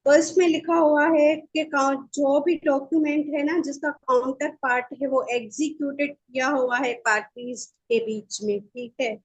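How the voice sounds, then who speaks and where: A woman's voice: high voice, fairly even intonation, measured pace, hardly any pauses, lo-fi sound. A woman lecturing informally, reading out passages and explaining them, mixing in English terms and often checking that listeners follow. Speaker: law lecturer.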